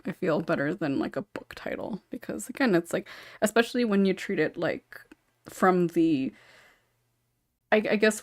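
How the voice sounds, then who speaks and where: A woman's voice: fairly low pitch, no echo, clean studio sound. A woman hosting a casual panel discussion, chatty and lightly teasing in manner. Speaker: podcast host and script writer.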